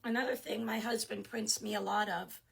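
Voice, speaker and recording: A middle-aged woman whose voice is going hoarse, talking casually in her shop office as she shows off what she sells. She chats freely with lots of ums and little laughs, at an ordinary pitch. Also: fairly even intonation, moderate pace, fairly bright tone, low-quality recording. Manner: chatty, laughs often, many fillers. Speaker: small shop owner. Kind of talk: casual product showcase.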